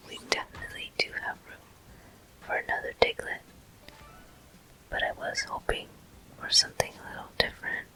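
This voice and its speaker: A person whispering soothing, unhurried ASMR game commentary in a breathy voice, close to the microphone. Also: rough recording. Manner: soothing, unhurried, softly encouraging. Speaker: game streamer.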